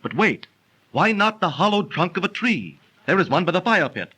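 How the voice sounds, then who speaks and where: An actor in a 1930s radio drama playing a zealous young Tartar chieftain's son, declaiming in stately archaic diction. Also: male voice, high voice, very animated, normal speed, bright, forceful tone, slightly rough, heavily acted. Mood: urgent, commanding. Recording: noisy old 1930s radio transcription recording.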